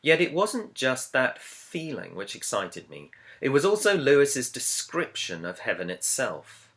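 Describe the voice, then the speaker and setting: A man, an author, reading his own book introduction as scripted narration in a measured, earnest, reflective way. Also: mid-range voice, big pitch swings, a bit bright. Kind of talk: scripted audiobook-style narration.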